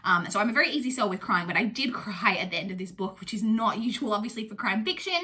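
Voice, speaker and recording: A woman's voice: mid-range voice, expressive, fast talker, sharp tone. A young adult woman with a blocked-up, nasal voice from a cold, chatting casually through a book review with lots of ums and likes. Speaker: booktuber host.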